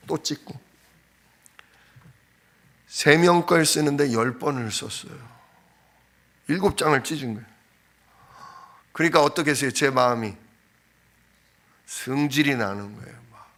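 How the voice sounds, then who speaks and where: A man preaching in a conversational style, telling a personal story informally, slightly hoarse from a cold. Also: fairly high pitch, a bit bright. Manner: anecdotal, informal storytelling with frequent fillers. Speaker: pastor. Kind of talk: conversational sermon. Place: church sanctuary.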